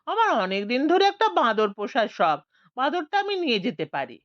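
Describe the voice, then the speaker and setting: A woman's voice: on the high side, big pitch swings, moderate pace, no room sound, highs cut off. A woman voicing a brusque, authoritative older man, a retired village police officer, in a Bengali audio story.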